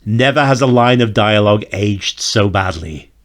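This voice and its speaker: A man's voice: lowish voice, animated, normal speed. A man giving wry, joking commentary in short quips over an old audio drama. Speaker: comedy riffer.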